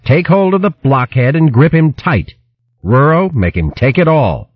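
A man's voice: fairly high pitch, very animated, a little slow, dry recording, muffled recording, pitched above the performer's own voice. A man voicing an impatient female squirrel warrior from a storybook, commanding and archaic in phrasing. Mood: barking orders.

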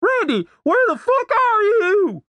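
A narrator voicing a brash, foul-mouthed young country woman with a blunt, excitable, folksy drawl, as audiobook character dialogue. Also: medium pitch, normal speed, no echo, dull audio, voice pushed up. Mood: panicked, furious.

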